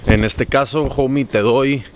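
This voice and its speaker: Young man, Mexican accent, casual vlog, arm's-length camera, city street, clear traffic noise. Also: mid-range voice, steady tone, unhurried. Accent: Mexican Spanish. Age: young adult. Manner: chatty, talking while walking with a handheld camera. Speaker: vlogger. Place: city streets and shops outdoors. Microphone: handheld camera at arm's length.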